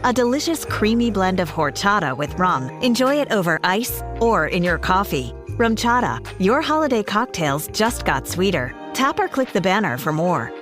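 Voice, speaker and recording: Animated commercial woman. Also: mid-range voice, normal speed, few pauses. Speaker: advertisement announcer. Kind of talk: scripted drinks commercial read. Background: music bed.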